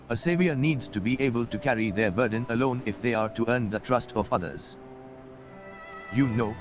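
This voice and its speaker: A man playing a gruff, folksy old mentor. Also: mid-range voice, close to an everyday voice. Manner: folksy, wry, encouraging. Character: older male mentor, gruff and encouraging. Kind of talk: game voice acting. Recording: studio voice with game music behind.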